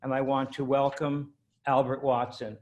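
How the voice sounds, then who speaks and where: A man's voice: mid-range voice, little pitch movement, normal speed. A man introducing a guest as interviewer, reading through a list steadily with a few fillers. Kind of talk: scripted introduction.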